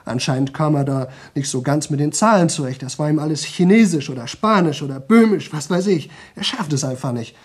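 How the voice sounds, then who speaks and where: A man's voice: on the high side, fairly quick, hardly any pauses, slightly rough. An actor playing a young man in a radio drama who talks nonstop for a record, rambling, repeating himself and slipping into singsong. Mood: chatty, self-satisfied. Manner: rambling, repetitive, chatty, drifting into singsong. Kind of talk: 1970 German radio play monologue.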